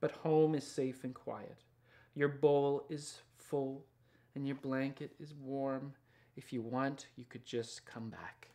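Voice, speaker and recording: A man in his thirties reads a children's picture book aloud. His delivery is gentle and unhurried, dropping softer at times, with a mid-pitched voice. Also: flat delivery. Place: small indoor studio lined with books and records.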